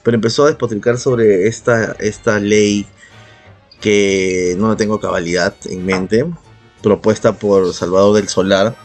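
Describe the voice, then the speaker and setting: A man's voice: fairly low pitch, fairly even intonation, measured pace, darkish tone. A Peruvian man co-hosting a casual podcast, argumentative and sarcastic, swearing freely as he gives his opinions. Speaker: podcast co-host. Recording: background music under the voice. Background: music.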